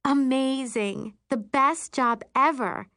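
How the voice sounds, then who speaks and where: A woman's voice: medium pitch, measured pace, fairly bright tone, no echo, clean studio sound, voice pushed up, lightly acted. A woman reading a novel as a chatty, gushing teenage girl.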